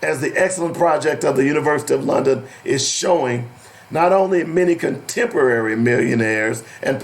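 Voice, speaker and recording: A man hosting an opinionated radio talk show, deliberate and emphatic, with a strained, cracking voice that is giving out. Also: medium pitch, gravelly, rough recording. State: losing his voice. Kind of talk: opinionated radio talk, partly reading aloud.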